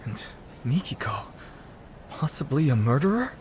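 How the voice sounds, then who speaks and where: An actor playing an anxious, easily shaken middle-aged father in a game cutscene, speaking in a proper but halting, emotional way. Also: a little high, sing-song, darkish tone, slight background noise. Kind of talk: dramatic game cutscene dubbing.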